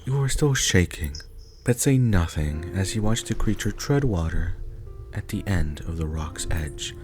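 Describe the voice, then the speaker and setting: A man's voice: low voice, lively intonation, unhurried. A man reading scripted second-person story narration for an ASMR roleplay. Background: faint music.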